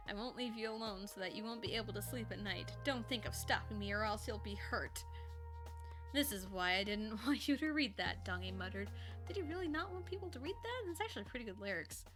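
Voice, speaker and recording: A woman casually reading a first-person story aloud on a live stream, informally, with ad-libbed asides and lightly voiced dialogue. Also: medium pitch.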